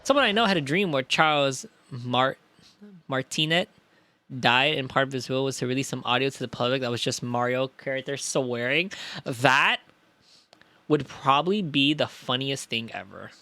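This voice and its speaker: A man's voice: medium pitch, moderate pace, sharp tone, dry recording. A young man streaming a game, chatting in a playful, rambling, self-mocking way. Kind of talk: live-stream game reading and chat.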